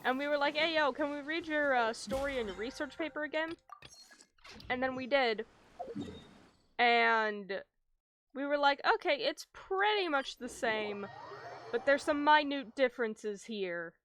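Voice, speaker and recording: Bright, high-voiced woman streaming a video game. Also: a little slow, slight background noise. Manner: expressive, informal, sweary, given to exaggerated dismay. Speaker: game livestreamer. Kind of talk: casual gaming commentary with read-aloud game text. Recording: game music faintly behind. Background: video game music.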